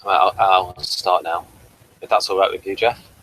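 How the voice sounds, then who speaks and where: A man hosting a TV review show in casual, unscripted chat, with a rambling, halting delivery. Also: sits low, steady tone, sharp tone, breathy edge, low-quality recording.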